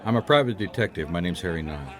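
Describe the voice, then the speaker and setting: An actor playing a wry, dogged private detective in a radio drama, narrating and speaking in a dry, understated way. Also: male voice, low voice, lively intonation, rapid-fire, fairly bright tone, a faint crowd. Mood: dry, probing.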